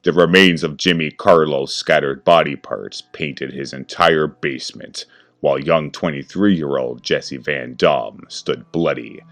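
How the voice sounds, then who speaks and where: A man's voice: rumbling low, a bit bright. A man narrating a horror story in a grave, dramatic manner, with faint music behind him.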